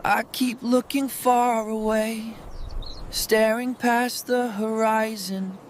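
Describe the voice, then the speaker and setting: A woman narrating as a lonely, heartbroken poor child in a cartoon story, mournful and drawn-out, with pauses between phrases. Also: on the high side, level tone, slight background noise. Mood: mournful, longing. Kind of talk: scripted first-person story narration. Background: prominent music.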